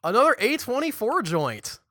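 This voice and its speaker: Podcast co-host, young man, bright tense tone, dry close mic. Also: very high voice, moderate pace, no echo. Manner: chatty, animated, restarts and stumbles mid-sentence. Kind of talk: casual chat.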